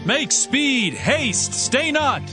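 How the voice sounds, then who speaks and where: An actor playing an earnest, loyal young prince, declaiming solemnly in archaic English for a dramatized scripture recording. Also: male voice, very high voice, big pitch swings, extremely slow, music in the background, heavily acted. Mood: urgent.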